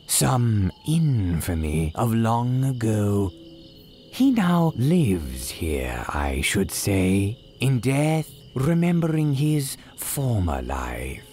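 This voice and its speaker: A man playing a courteous, gleefully sinister cemetery keeper, telling horror tales in grand, archaic, drawn-out phrases like old-time radio drama. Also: on the low side, darkish tone, heavily acted. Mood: grandly ominous. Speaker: horror storyteller host.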